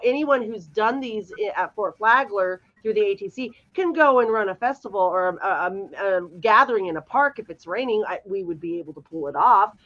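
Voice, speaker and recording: An enthusiastic woman in her fifties chats freely as a podcast co-host, her voice sitting fairly high. The sound comes through a dull, lo-fi video-call line. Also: a bit bright. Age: 50s. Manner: enthusiastic, chatty. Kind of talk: casual chat. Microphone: remote video call.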